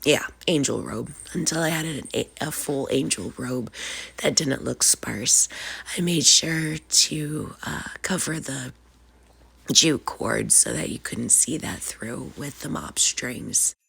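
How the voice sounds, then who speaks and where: Low, gravelly-voiced woman with a cold. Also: slow pace, fairly bright tone. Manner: casual, chatty, with frequent "uh" and "um". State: sniffly with a cold. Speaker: craft channel host. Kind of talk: DIY craft tutorial narration.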